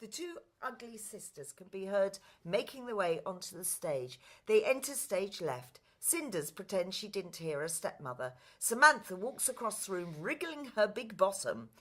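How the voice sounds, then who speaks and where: A woman's voice: mid-range voice, big pitch swings, normal speed, hardly any pauses. A woman narrating scripted stage directions for a play.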